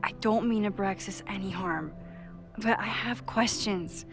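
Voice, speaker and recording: An actress playing a determined, inquisitive young amateur sleuth in a video game, understated and thoughtful. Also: female voice, mid-range voice, measured pace, natural voice. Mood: probing, careful. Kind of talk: naturalistic video game acting. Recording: music behind the voice.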